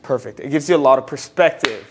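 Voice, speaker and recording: A real estate marketer chatting in an upbeat way while filming inside a house for sale. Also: male voice, medium pitch, quick pace, background noise. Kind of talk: casual on-location vlog. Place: house being shown, indoors.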